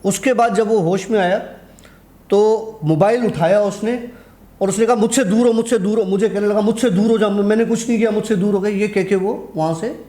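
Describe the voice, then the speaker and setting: A man's voice: sits high, fairly even intonation, normal speed, mellow. A man telling a personal horror anecdote in a conversational way, acting out the dialogue as he goes. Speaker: guest storyteller.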